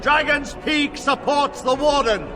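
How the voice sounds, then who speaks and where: An actor playing an indignant noble in a game cutscene, speaking formally and emphatically. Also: male voice, extremely high pitch, measured pace. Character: indignant noble challenging the ruling regent. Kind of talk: dramatic game cutscene acting. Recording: echoing hall, faint music behind.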